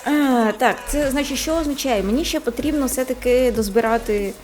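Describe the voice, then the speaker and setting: A woman gaming vlogger chatting casually to viewers as she plays, thinking aloud in a halting way. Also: sits high. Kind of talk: casual gacha-pull commentary to viewers. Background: music.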